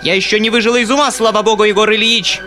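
A man's voice: sits high, lively intonation, a little fast, bright, forceful tone, voice pushed up. A man voicing a furious middle-aged noblewoman who screeches and scolds, with music playing behind.

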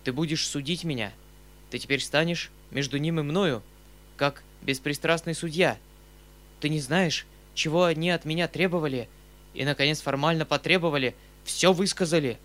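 A man voicing an agitated middle-aged gentleman uncle from an audiobook novel, emphatic and rushed, flaring into commanding outbursts. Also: a little high, close to an everyday voice. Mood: earnest, pleading. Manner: emphatic, breathless, rushed exclamations in short bursts.

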